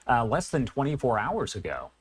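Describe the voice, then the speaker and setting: A man's voice: medium pitch, huge pitch swings, moderate pace, slightly rough. A man working as a news anchor, putting questions to a guest in a live interview.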